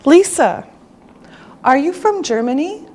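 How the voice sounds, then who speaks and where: A woman teaching English to beginners, enunciating carefully and deliberately in a scripted lesson. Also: high voice, expressive, darkish tone.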